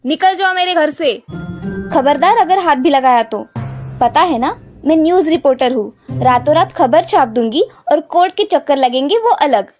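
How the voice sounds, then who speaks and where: A woman's voice: high voice, expressive, normal speed, faint music behind. A woman voicing a bold, confident young news reporter in a cartoon, speaking firmly. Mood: defiant. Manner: firm, confident. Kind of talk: cartoon voice acting.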